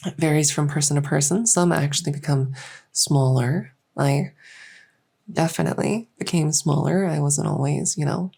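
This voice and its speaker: Low slow gravelly woman acting as a gentle little bug-folk doctor, soft spoken, reassuring, asmr roleplay. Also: exaggerated voice. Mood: reassuring, explanatory. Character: small insect-folk clinician, gentle, encouraging, matter-of-fact woman. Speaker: medical clinician.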